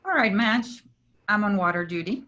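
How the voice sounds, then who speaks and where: A woman's voice: mid-range voice, normal speed, pushed and bright, muffled recording, lightly acted. A woman playing the kindly but firm leader of travelling actors in a staged play reading. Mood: brisk, gentle.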